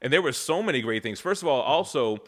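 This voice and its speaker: A man in his late thirties hosts a relaxed conversation, words tumbling out quickly. He sounds eager and easygoing, with a medium-pitched voice and casual cursing. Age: 30s. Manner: fast, enthusiastic, swears casually. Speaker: podcast host. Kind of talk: casual chat.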